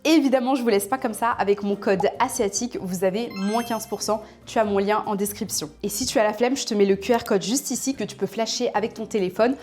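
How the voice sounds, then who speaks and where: A woman's voice: mid-range voice, fast, hardly any pauses, a little mellow, soft background music. Woman reading an upbeat sponsor spot. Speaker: presenter of intro and sponsor segment. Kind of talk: scripted voice-over and sponsor read.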